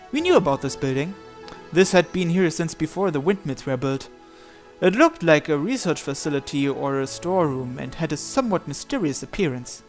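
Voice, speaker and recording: A man hosting a let's play, reading story narration aloud and breaking off for casual asides on the text. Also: a little high. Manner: stumbles and breaks off to comment on the text.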